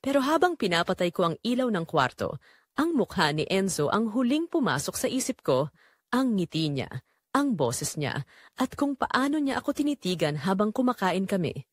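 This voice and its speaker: Woman acting as a lonely widowed mom narrating her audio drama, wistful and yearning, very expressive pitch, close dry sound. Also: moderate pace, no room sound. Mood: conflicted yearning. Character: lonely middle-aged widowed mother, wistful and secretly yearning. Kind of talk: first-person dramatic audio story narration.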